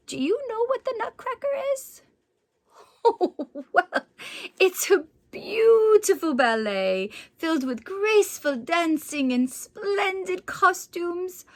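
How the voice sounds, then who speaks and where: A woman in character as a children's storyteller, reading in a theatrical, gushing manner with exclamations and dramatic pauses. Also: high-pitched. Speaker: children's storybook reader in character as Mrs. Claus. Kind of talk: animated storytelling for young children.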